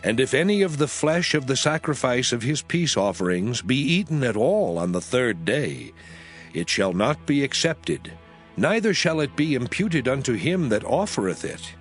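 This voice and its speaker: A man playing God proclaiming sacred laws in a dramatized scripture reading, solemn, deliberate and declamatory. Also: medium pitch, big pitch swings, close to an everyday voice. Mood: solemn, commanding. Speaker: scripture voice actor. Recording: music under the voice. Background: background music.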